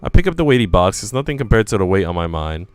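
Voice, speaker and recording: A man's voice: sits low, sing-song, fast, clear, resonant tone, dry recording, faint music behind. A man voicing an anxious young woman who narrates her troubles in a visual novel, dramatic and self-questioning. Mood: troubled. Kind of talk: visual novel first-person reading.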